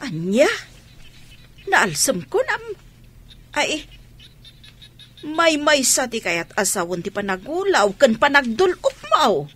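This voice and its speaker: A woman playing an excitable, doting mother in an Ilocano radio drama, with an Ilocano accent and a slightly husky voice. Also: high-pitched, slow pace, long pauses, full character voice. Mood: excited, relieved.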